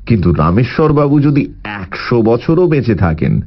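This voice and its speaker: An actor playing a thoughtful, wry detective in measured, musing dialogue from an audio story. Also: male voice, mid-range voice, big pitch swings, highs cut off.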